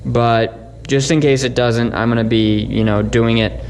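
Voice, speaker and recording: A man with a mid-pitched, fairly flat voice talks through hands-on work in a casual way. He sounds informal and thinks aloud as he explains. Faint noise sits behind the voice. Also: moderate pace. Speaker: host of a DIY electronics channel. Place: indoor electronics workbench. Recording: faint steady background noise.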